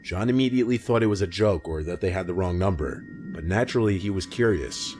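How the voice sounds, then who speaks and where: A man reading a scripted scary-story narration in a measured, matter-of-fact way. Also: low-pitched, lively intonation. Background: music bed.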